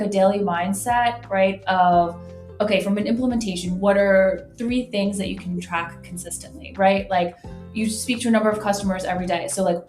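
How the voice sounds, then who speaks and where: A woman's voice: mid-range voice, darkish tone. A woman guest giving conversational business explanations in an interview, often tagging phrases with "like" and "right?". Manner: conversational, frequent "like" and "right?" tags. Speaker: guest, marketing executive. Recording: roomy, echoey sound.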